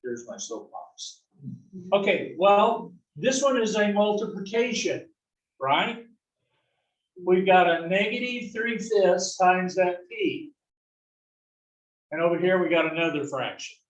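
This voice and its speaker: A man's voice: high-pitched, expressive, takes it slowly, long pauses, muffled recording. A man from the south-central US teaching an algebra class, folksy and fond of corny jokes, often checking in with "right?". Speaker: algebra instructor. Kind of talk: classroom lecture. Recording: echoey classroom, distant mic.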